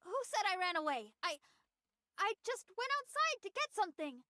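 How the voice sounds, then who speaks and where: A woman voicing a timid, soft-hearted young girl soldier in a game, speaking haltingly and apologetically. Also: very high-pitched, sing-song, no room sound, studio quality, exaggerated voice. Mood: evasive, distressed. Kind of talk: scripted game voice acting.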